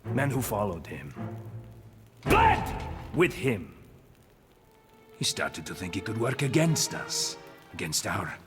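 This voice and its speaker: An actor playing a scheming male mercenary leader in a video game, with a slow, silky, mocking drawl. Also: fairly low pitch, big pitch swings. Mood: smug mocking. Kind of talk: video game cutscene acting. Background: game score music.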